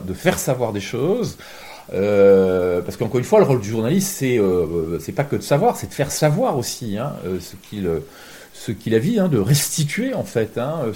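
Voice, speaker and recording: A man giving deliberate, reflective interview answers as a reporter, often rephrasing himself. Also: on the high side, warm tone. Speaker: journalist, war reporter being interviewed.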